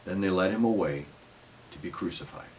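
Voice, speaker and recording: A man's voice: low voice, a little fast, darkish tone. A man giving a scripted dramatic scripture reading as narrator, in a solemn, emphatic storytelling manner.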